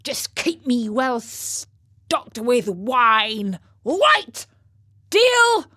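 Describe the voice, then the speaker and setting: A woman's voice: a little high, very animated, extremely slow, sharp tone, heavily acted. A woman voicing a crude, hard-drinking father striking a chummy bargain in a storybook tale. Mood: wheedling. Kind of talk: storybook character voice.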